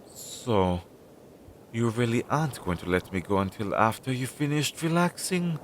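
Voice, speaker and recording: A man playing an anxious, devoted crow familiar in an intimate audio roleplay, speaking softly and hesitantly, with pauses. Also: expressive, close to an everyday voice. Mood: flustered, indignant. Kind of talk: intimate second-person audio roleplay acting. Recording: low-quality recording with clear noise.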